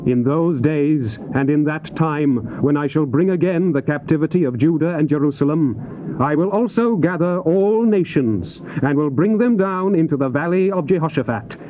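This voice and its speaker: A man's voice: on the high side, close to an everyday voice. A male actor playing a solemn Old Testament prophet, declaiming scripture with emphatic, oratorical phrasing. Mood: stern. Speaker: actor reading the Bible. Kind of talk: dramatized scripture reading, theatrical declamation. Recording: muffled, noisy old cassette tape.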